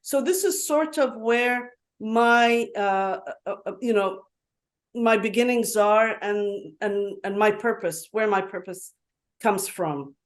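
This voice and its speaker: A woman speaking as a guest on an online conference call, earnest and halting, with many fillers and restarts. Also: mid-range voice. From Yemen. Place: home in Dubai. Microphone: video call.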